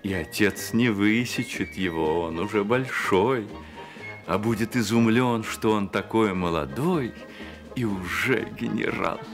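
A man narrating a radio drama as scripted literary storytelling, in a measured manner. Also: on the low side, music in the background.

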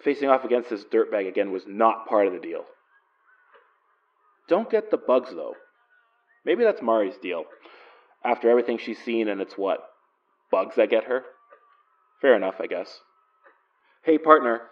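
A man's voice: medium pitch, recorded from a distance, lightly acted. An actor playing a weary, sardonic male investigator, grumbling dryly in an audio drama monologue. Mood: wry. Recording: muffled, voice away from the mic.

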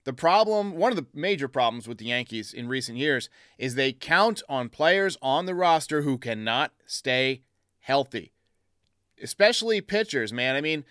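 A man's voice: medium pitch, animated, normal speed, fairly bright tone, clean tone, no room sound, clean studio sound. A man hosting a fan call-in sports show, giving blunt, emphatic opinions in a casual way.

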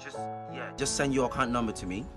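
An actor playing an exasperated, dismissive boyfriend abroad in a phone-call drama, speaking emphatically and impatiently. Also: male voice, mid-range voice, fast talker, over loud music, natural voice. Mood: firm, dismissive. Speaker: actor in a comic relationship drama.

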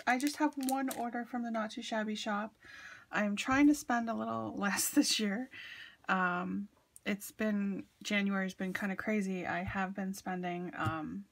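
A woman's voice: mid-range voice, fairly bright tone. Woman, sniffly from allergies, gushing crafter showing off her haul, chatty, filler-heavy. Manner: chatty and gushing, many ums. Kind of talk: casual show-and-tell.

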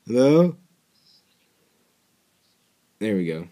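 Slow low-voiced young man rambling. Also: big pitch swings, background noise. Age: young adult. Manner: rambling, joking, swearing, mumbled asides. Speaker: reaction video host. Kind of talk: casual cartoon reaction commentary. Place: small bedroom at night. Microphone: earbud headset mic.

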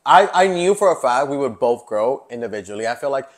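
A man's voice: medium pitch, lively intonation, a little fast. A man in his early twenties hosting a podcast in casual chat, chatty with lots of fillers and laughs, recorded on a lapel mic in a living-room set. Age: 20s. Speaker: podcast host.

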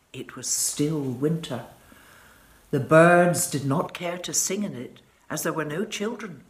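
A woman in her sixties reading a children's story aloud in a measured, dramatic way. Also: low voice, a bit bright. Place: furnished living room, indoors.

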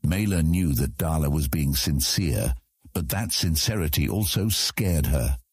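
A man with a husky voice reading scripted fantasy story narration as an audiobook narrator. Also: very deep voice, animated, a little slow, darkish tone, no room sound, clean studio sound.

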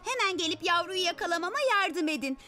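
A woman's voice: extremely high pitch, fast. A woman voicing a blunt, spirited young girl in a children's cartoon. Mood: exasperated. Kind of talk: animated children's cartoon dubbing. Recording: loud music behind the voice.

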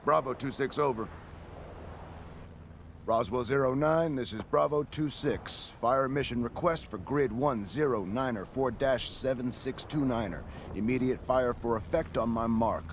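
Gravelly American army commander played by a man. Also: medium pitch, a little slow, slight background noise, close to an everyday voice. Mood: businesslike, commanding. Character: American army commander radioing for support strikes. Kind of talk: military radio calls in a war game.

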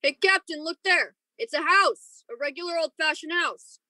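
A woman acting an eager, excitable young spaceship crew member in a radio play, very earnest. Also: high-pitched, moderate pace, dry recording. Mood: excited.

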